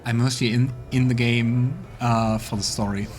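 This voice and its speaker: A man's voice: mid-range voice, steady tone, normal speed. A man hosting a role-playing game let's play, chatting casually and musing aloud as he plays. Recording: game music playing behind.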